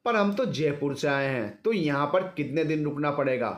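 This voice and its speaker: A man's voice: on the high side, expressive, normal speed, natural voice. A man voicing an elderly husband who is anxious, tender and a little hesitant, in an audio story. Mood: worried. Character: worried, affectionate elderly husband.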